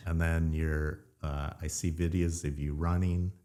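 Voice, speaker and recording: A man hosts a relaxed podcast interview, asking questions in a friendly, halting way that often stops and restarts. His voice is very deep. He keeps a casual, conversational tone throughout. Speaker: podcast host.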